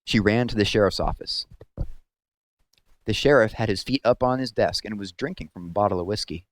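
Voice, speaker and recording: A man narrating a story aloud in a measured, serious storytelling manner. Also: fairly low pitch, no echo.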